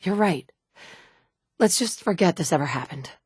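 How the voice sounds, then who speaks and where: A woman's voice: lowish voice, expressive, normal speed, a little mellow, slightly rough, no room sound, studio quality. An actress in her thirties playing a blunt, sardonic mother in a game, with clipped one-liners. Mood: somber. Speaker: player character. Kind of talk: video game dialogue lines. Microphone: studio mic.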